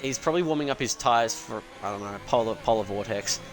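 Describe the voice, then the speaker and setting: A man's voice: mid-range voice, fast, a little hiss or hum. A young Australian man doing live sim racing commentary, chatty and joking, with casual swearing.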